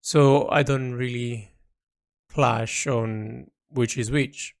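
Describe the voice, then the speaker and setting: A man with a mid-pitched voice and a noticeable fry talks slowly through his creative project. He is reflective and halting, often pausing partway through a phrase. The delivery is that of an informal audio log by an artist. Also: fairly even intonation.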